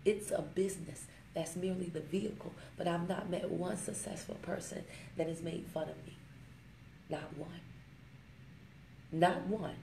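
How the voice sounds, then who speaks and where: A woman talks straight to her phone camera in a motivational vlog, pressing each point home and slowing down on the lines she wants to land. Her voice is mellow and dark, with a gravelly fry at the ends of phrases. The room echoes noticeably, with a faint hum of ambience underneath. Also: lowish voice. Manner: emphatic, preachy, fond of rhymes, slows down to stress points. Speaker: network marketing vlogger. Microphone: smartphone.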